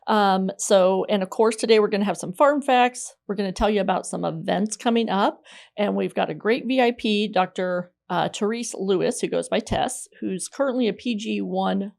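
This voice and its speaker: A woman's voice: medium pitch, moderate pace, creaky, a little mellow. A woman hosting a podcast in a casual, chatty way. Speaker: podcast host. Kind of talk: casual co-hosted podcast chat.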